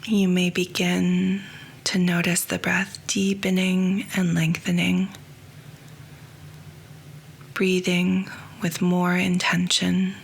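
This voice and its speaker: Meditation guide: woman, low, level and unhurried, near-whispered, gravelly. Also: monotone, a little hiss or hum. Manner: soft, slow and hushed, often near a whisper. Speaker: yoga nidra guide.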